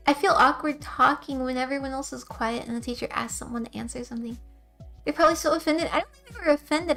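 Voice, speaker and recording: A young woman streamer with a soft, quiet voice, chatting casually with her viewers in a rambling, giggly way. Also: high-pitched.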